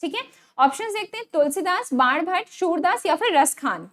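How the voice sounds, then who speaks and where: Very high-pitched Indian woman teaching. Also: lively intonation, normal speed, a bit bright. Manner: instructional, repeats key answers, frequent check-in tags. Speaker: online exam-prep teacher. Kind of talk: quiz lesson, reading questions and options then giving answers.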